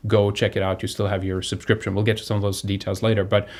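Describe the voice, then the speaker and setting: Low-voiced man from Sweden, halting delivery. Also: little pitch movement. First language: Swedish. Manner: halting, repeats and restarts words. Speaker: online show host. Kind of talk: unscripted appeal to viewers.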